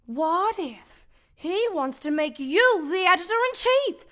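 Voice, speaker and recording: A woman's voice: extremely high pitch, big pitch swings, takes it slowly, a bit bright, exaggerated voice. A woman voicing a prim, tactless young wife of a reporter in a comedic radio play, speaking in a breezy, prim manner. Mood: prim chatter.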